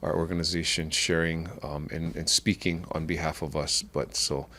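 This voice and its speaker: A middle-aged man from Oahu with a Hawaiian accent, talking as a podcast guest in a halting way with many restarts. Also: very deep voice, level tone, sharp tone. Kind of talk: casual conversational interview.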